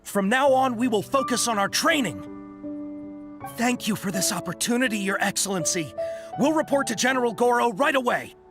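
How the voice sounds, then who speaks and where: A man's voice: very high voice, expressive, moderate pace, music in the background. A man voicing a dutiful, deferential soldier in a video game, humbly penitent and speaking with formal respect. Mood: grateful, obedient.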